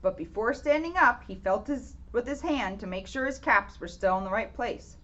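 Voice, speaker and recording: A woman reads a picture book aloud in a fairly high, very animated voice. She adds playful emphasis and casual asides, and the recording sounds slightly muffled. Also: moderate pace. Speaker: police officer reading a children's storybook aloud. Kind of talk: expressive storybook read-aloud with casual asides.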